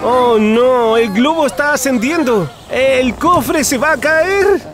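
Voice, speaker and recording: A woman playing an excitable children's cartoon narrator who speaks in exclamations straight to the characters. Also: high-pitched, slow pace. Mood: alarmed, urgent. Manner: exclamatory, addressing the characters directly. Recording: music behind the voice.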